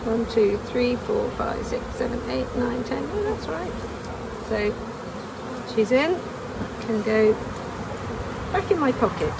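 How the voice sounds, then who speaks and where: A woman's voice: a little high, lively intonation, slow pace, gravelly, pauses often. Chatty older woman beekeeper outdoors. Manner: chatty, explains each step as she works; delighted, exclaiming. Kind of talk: hands-on demonstration vlog. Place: outdoors in a garden apiary. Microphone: camera close by, wearing a bee veil. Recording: outdoor noise with buzzing bees.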